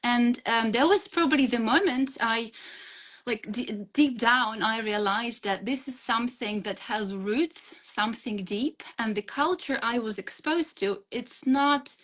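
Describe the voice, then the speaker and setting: Earnest young Ukrainian woman, higher voice. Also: a bit bright, poor audio. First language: Russian. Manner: earnest, halting, many fillers and restarts. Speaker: guest teacher. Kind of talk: conversational interview answers. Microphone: video call.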